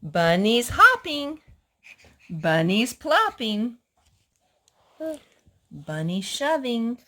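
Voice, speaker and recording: Slow-paced woman reading to children. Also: on the high side, big pitch swings, sharp tone. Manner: child-directed, asks prompting questions. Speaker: host of a children's storytime. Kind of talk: reading picture books aloud to children.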